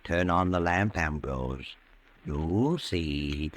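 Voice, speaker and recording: An actor playing a sinister, all-knowing narrator of night tales in a 1940s radio drama, speaking in an ominous, deliberate, theatrical way. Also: male voice, sits low, exaggerated voice. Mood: brooding, suspenseful. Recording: noisy old radio recording.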